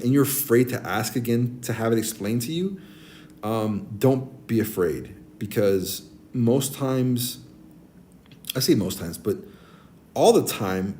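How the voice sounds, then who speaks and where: A man in his forties with a naturally deep voice, chatting casually with stream viewers and giving friendly advice, with many ums and restarts. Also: mellow, slight background noise. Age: 40s. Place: abroad, streaming on a tablet. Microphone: tablet mic.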